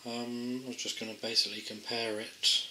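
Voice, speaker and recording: A man's voice: medium pitch, flat delivery, normal speed, sharp tone. A man giving a casual, unscripted hands-on product demonstration, thinking aloud in a hesitant, understated way. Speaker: amateur product tester presenting a gadget. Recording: roomy echo, faint background noise, clicks.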